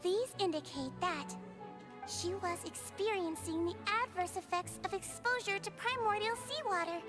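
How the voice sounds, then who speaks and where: Little girlish nurse, extremely high voice, gentle, clinical, calm, anime game, loud music behind. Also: normal speed. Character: small, gentle childlike female medical attendant.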